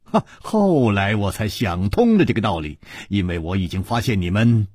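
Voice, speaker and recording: A man voicing a calm, shrewd hero in a wuxia audiobook, reasoning deliberately with pointed amusement. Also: on the low side, breathy edge, no room sound, clean studio sound. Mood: composed, probing.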